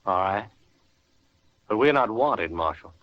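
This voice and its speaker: A man's voice: fairly low pitch, animated, unhurried. An American actor playing a cocky, wary frontier gunman in an old-time radio western, curt and sardonic in delivery. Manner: curt, sardonic, rough-spoken. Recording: muffled old radio recording.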